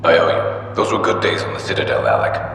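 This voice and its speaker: An actor playing an older alien veteran in a video game, a gruff, wary father speaking in a measured, confiding way. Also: fairly bright tone, lightly acted. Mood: grave, confiding. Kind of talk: video game dramatic acting. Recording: very echoey hall, faint hum.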